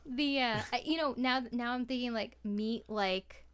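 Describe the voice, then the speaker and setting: An American woman from Maine talking in an informal, animated, slangy way as a podcaster. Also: a little high, unhurried, a little hiss or hum. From Maine, USA. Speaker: podcast guest, podcaster and voice actor. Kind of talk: casual interview chat.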